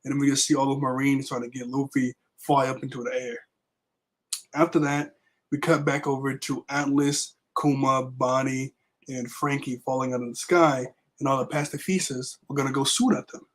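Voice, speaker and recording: A young man with a raspy voice left over from being sick, casually recapping a manga chapter with lots of fillers. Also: medium pitch, level tone, moderate pace. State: raspy voice recovering from illness. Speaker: manga review vlogger. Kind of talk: casual chat.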